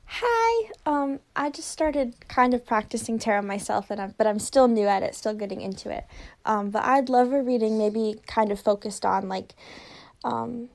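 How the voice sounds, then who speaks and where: A woman's voice: fairly high pitch, moderate pace, creaky. A young woman leaving a voice message, tentative and rambling with fillers. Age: young adult.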